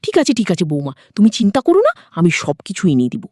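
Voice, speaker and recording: Woman voicing a coaxing older father-in-law, very animated. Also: fairly low pitch, brisk, no echo, studio quality. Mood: flirtatious.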